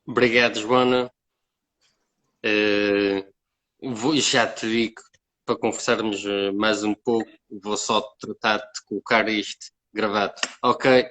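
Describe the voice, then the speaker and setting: A man's voice: fairly low pitch, little pitch movement, slow, fairly bright tone. A man hosting an interview, politely thanking his guest. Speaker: interview host. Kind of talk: casual interview wrap-up.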